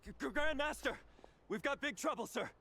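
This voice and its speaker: An actor voicing an eager, anxious young soldier with an urgent, deferential manner in a video game. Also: male voice, extremely high pitch, expressive, fairly quick, sharp tone, a little hiss or hum, exaggerated voice. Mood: urgent, worried. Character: eager, anxious young male soldier reporting to his commander.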